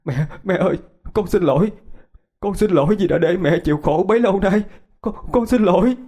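A man's voice: sits high, a little fast, a little mellow, voice pushed up. A man playing a grown son who cries and begs remorsefully in a dramatic story. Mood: sobbing, remorseful. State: crying. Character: grown son, sobbing and begging his mother's forgiveness.

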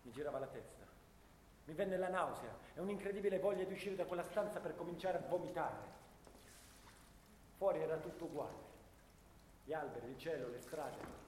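An actor playing a grieving, war-weary young soldier in a solemn, measured theatrical monologue. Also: male voice, a little high, expressive, darkish tone, lightly acted. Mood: grief-stricken. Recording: echoey stage hall, faint noise.

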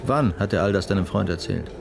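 An actor playing a sober, persistent police detective in a radio crime drama, speaking in a terse, probing way. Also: male voice, low voice, quick pace, gravelly, a crowd in the background. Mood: probing, impatient. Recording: prominent music behind the voice.

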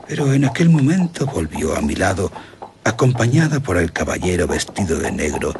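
Grave, low-voiced Spanish general, dramatic. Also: male voice, very animated, background noise. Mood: earnest recollection. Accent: Spanish from Spain. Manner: dramatic, deliberate, courtly. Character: grave, remorseful gentleman general recounting a horror. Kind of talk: radio drama acting, storytelling.

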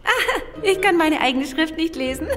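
A woman's voice: very high voice, lively intonation, moderate pace. An actress playing a chatty woman delivering sandwiches in a radio drama. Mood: teasing.

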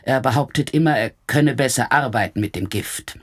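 A woman's voice: a bass voice, normal speed. An actress playing a defiant, secretive woman under police questioning in a German radio drama, guarded and indignant.

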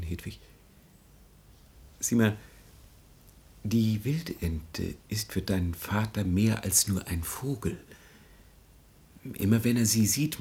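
Deep, creaky, solemn idealist. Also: male voice, darkish tone, exaggerated voice. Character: solemn, persuasive male idealist, quietly insistent. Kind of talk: 1950s German radio drama acting.

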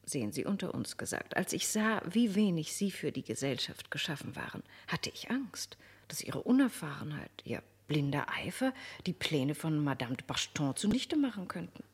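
An actress playing an aristocratic society lady in a German radio drama, flattering, amused and calculating, with theatrical, coquettish emphasis. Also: low voice, normal speed, breathy edge, exaggerated voice. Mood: flattering, confiding.

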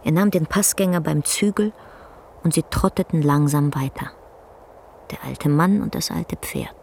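An actress narrating a literary radio play in a measured, solemn way. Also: female voice, sits low, creaky, a little mellow, slight background noise. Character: solemn storyteller of an old man and horse.

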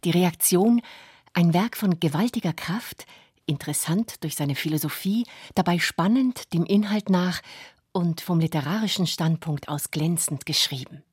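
A deep, husky voice as a writer's wife reading private diary entries. The delivery is restrained and intimate, recorded very dry for radio. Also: female voice, normal speed, darkish tone, no echo. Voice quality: husky, low.

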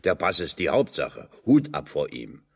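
A stocky metalworker in a radio play, very low in pitch with swinging intonation. He is gruff and blunt, delivering wry, sardonic observations in plain words. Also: huge pitch swings, normal speed, exaggerated voice. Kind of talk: German radio drama dialogue.

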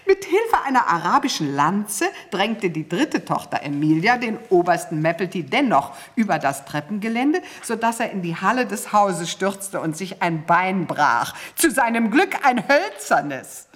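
An actress playing a prim, motherly older lady in a radio play, with precise, mannered delivery. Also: lowish voice. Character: prim older genteel lady, motherly and admonishing, amused by scandal. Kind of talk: German radio play acting, reading a newspaper aloud and conversing.

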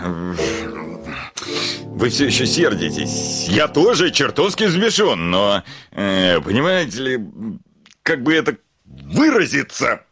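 An actor playing a hot-tempered, lovestruck landowner in a theatrical radio play, blustering and breaking into exclamations. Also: male voice, medium pitch, wildly swooping pitch, full character voice. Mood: flustered, smitten. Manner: blustering, halting then bursting into exclamations. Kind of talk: theatrical Russian classic radio play acting. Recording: studio radio drama with loud music.

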